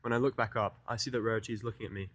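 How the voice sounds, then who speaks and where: A man's voice: fairly low pitch, steady tone, very fast, a bit bright, dry recording. A man reading scripted first-person fan fiction as the narrator of an audio drama.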